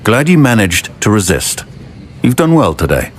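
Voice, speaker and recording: A man voicing a stern, dry middle-aged potions professor in a video game, measured, with a British accent. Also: fairly low pitch, very animated, a little hiss or hum. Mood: dry approval. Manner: measured, dry, grudging approval. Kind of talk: video game character acting.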